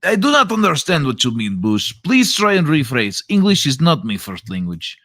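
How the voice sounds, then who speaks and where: A man voicing a stiff, formal Russian man who speaks halting English and drops his articles. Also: on the high side, wildly swooping pitch, fairly bright tone, no echo, clean studio sound, full character voice. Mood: formal, deadpan. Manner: halting, overly formal phrasing with dropped articles.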